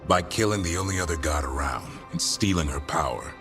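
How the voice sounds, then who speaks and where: Deep-voiced, thoughtful adventurer. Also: male voice, fairly quick, music in the background. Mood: pensive. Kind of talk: naturalistic video game dialogue.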